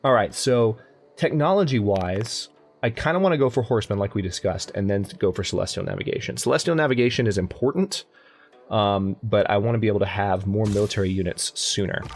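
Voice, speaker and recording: A man's voice: on the low side, fairly quick. A young man casually commenting on a strategy game as he plays, thinking aloud and often correcting himself. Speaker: let's play host. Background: faint game music.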